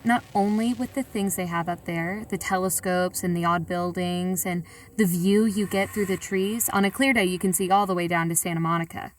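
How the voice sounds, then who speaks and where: A woman's voice: medium pitch, recorded from a distance, slight background noise, natural voice. A woman playing a plain-spoken, reflective sightseer who tells her story in a colloquial, confiding way. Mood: reflective, uneasy. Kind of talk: first-person audio drama narration.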